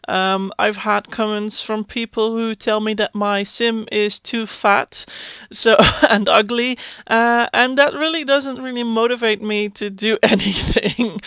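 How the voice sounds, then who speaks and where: Self-conscious Dutch woman in her thirties, halting casual vlog voiceover, clean dry read. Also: medium pitch, smooth, dry recording. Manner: hesitant, many fillers and restarts; self-conscious, soft-spoken. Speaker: YouTube Sims builder. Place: home.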